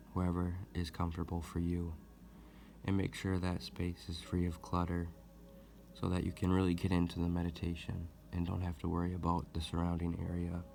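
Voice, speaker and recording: Very deep, monotone man guiding meditation. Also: creaky, slight background noise. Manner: gentle, deliberate, with long pauses. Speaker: meditation guide, host. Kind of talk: guided meditation, soothing instruction.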